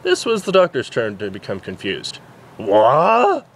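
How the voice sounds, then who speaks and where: A man's voice: on the low side, wildly swooping pitch, fairly quick, slight background noise. A man narrating a story as an amateur reader, stumbling over words now and then. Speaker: amateur fan fiction reader. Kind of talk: story narration, reading aloud.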